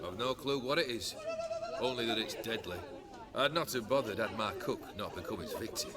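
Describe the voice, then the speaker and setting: A man's voice: fairly low pitch, lively intonation, moderate pace, lightly acted. A man playing a lordly, world-weary chieftain of a rowdy band in a fantasy video game, speaking with haughty eloquence. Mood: wry, dismissive. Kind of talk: video game cutscene acting. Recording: loud crowd chatter behind.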